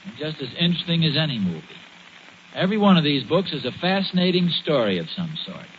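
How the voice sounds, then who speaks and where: A man's voice: a little high, sing-song, fast talker. An American man playing an earnest, mildly pompous suburban father in a 1940s radio sitcom, lecturing a little hesitantly. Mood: mildly indignant. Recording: muffled 1940s radio recording, faint noise.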